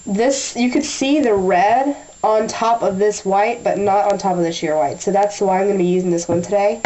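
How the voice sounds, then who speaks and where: A young woman talking through a nail tutorial in a chatty way, a little nasal from a sinus infection, often clearing her throat. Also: medium pitch, mellow, low-quality recording. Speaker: beauty vlogger. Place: small room at a table.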